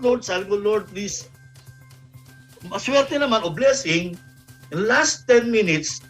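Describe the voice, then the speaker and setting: A Filipino man speaks in a high voice, recounting his own experience in conversation. He is emphatic and moves freely between Tagalog and English, with faint music behind him. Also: a bit bright. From Philippines. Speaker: guest. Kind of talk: personal storytelling in conversation.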